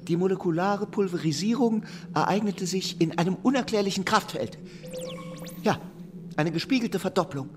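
A man's voice: high-pitched, moderate pace, music in the background, natural voice. A man playing a tiny, odd, secretive and fussy male creature in a children's radio play, with quirky clipped speech. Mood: officious, secretive.

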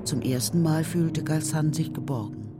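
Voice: medium pitch, normal speed. A narrator telling a children's story in a scripted, literary radio play style. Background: music.

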